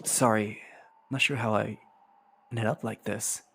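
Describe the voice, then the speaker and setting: A man's voice: lowish voice, fairly even intonation, unhurried, gravelly, a bit bright, slight background noise. A man playing an earnest, self-doubting young heir in a drama, speaking in a subdued, sincere manner. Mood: apologetic. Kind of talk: dramatic game-script acting. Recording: music behind the voice.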